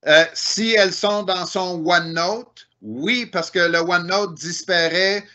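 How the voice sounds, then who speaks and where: A man's voice: high voice, slow, pushed and bright. A man with a Québécois French accent giving an online technical presentation, explaining step by step with frequent "euh" and "ben" fillers.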